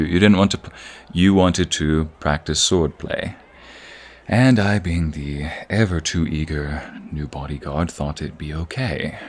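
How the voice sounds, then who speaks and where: A man playing a respectful knight bodyguard in an audio roleplay, earnest and courtly, with wry exasperation and a halting delivery. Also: a bass voice, gravelly, a little hiss or hum, exaggerated voice. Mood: reminiscing, teasing. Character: respectful armored knight bodyguard, teasing a bratty princess. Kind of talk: audio roleplay acting, one-sided dialogue.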